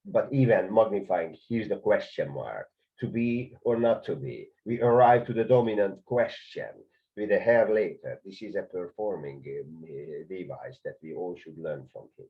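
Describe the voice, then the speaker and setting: A man's voice: deep voice, expressive, normal speed. A man with a Hungarian accent explaining conducting in a video lesson, hesitant, with many filler sounds and restarts. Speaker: conducting teacher. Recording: dull lo-fi sound.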